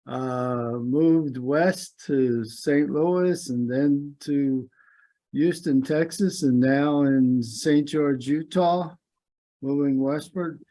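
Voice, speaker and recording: A man from New Jersey introducing himself on a video call, halting with many fillers. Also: medium pitch, warm tone. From New Jersey, United States. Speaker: meeting participant. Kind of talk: casual self-introduction.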